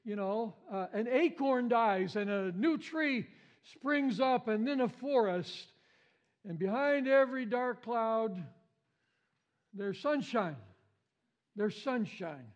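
A man's voice: extremely high pitch, animated, slow pace, dull audio. A man preaching an Easter sermon as pastor, with a big voice, emphatic and repeating his phrases. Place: church sanctuary.

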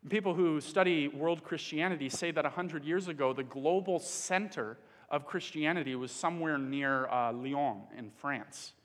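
Earnest male preacher, from the Dominican Republic, a bit high-pitched, echoing hall. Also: a bit bright. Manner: earnest, pauses with fillers. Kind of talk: sermon. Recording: long hall reverb.

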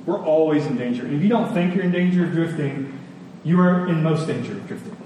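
Male preacher, slightly high voice, conversational yet emphatic, heard at a distance in a reverberant hall. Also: animated, mellow. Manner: conversational, with emphatic repetition and pauses. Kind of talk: sermon with personal stories. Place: large reverberant room with an audience. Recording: echoey hall, distant, faint noise.

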